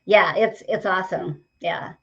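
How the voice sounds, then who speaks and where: Woman, late 60s, energetic motivational coach, upbeat storyteller, slightly dull lo-fi sound. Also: lowish voice, unhurried, creaky, a little hiss or hum. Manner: energetic, upbeat, talks in stories and examples. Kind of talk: podcast conversation.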